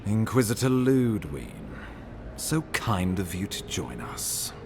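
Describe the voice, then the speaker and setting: An actor playing a weary, dutiful imperial ambassador in a fantasy game, speaking in a measured, formal, resigned way. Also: male voice, lowish voice, big pitch swings, vocal fry, noisy background, heavily acted. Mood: placating. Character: weary, dutiful male imperial ambassador, self-doubting yet courteous. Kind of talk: dramatic fantasy game dialogue.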